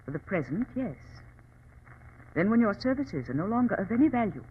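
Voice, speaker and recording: An actress playing a proud, impassioned Chinese woman revolutionary in an old radio drama, formal and imperious, with a husky voice. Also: medium pitch, big pitch swings, fairly quick, slight background noise, lightly acted. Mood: cool, imperious. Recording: muffled old radio recording.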